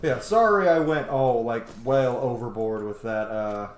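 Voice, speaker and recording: A man's voice: mid-range voice, unhurried, reverberant. A man giving casual, chatty, enthusiastic gameplay commentary, with some offhand swearing. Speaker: host of a gameplay series.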